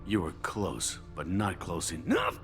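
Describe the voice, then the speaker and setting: A man's voice: wildly swooping pitch, unhurried, a bit bright, soft background music, full character voice. An actor playing a shifty, defiant male criminal in a video game, sneering and sarcastic. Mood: smug, defiant. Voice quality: low, gravelly.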